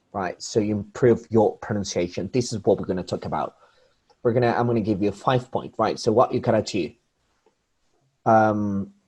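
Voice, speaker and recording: A man's voice: on the low side, a little mellow, breathy edge. A man with a Spanish accent, a native Spanish speaker, explaining pronunciation tips to his English students in a class. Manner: explanatory, addresses students directly, many restarts. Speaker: English teacher. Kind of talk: online class lecture.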